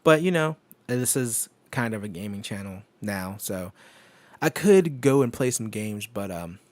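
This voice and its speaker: A man with a low voice chatting casually on a home live stream, rambling with many fillers and frequent laughs, into a microphone on an arm. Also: animated, measured pace, darkish tone, dry recording. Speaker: tech streamer. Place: home desk setup. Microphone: microphone on an arm through an audio interface.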